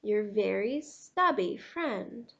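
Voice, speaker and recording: Woman as a weary, stubby blue crayon, slow and lilting. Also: medium pitch, lively intonation, creaky, fairly bright tone, highs cut off, heavily acted. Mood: weary, earnest.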